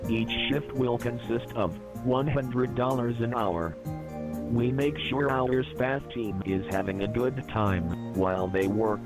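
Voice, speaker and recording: A man's voice: mid-range voice, lightly acted, rough recording. A man playing a corporate training video narrator, delivering a scripted welcome.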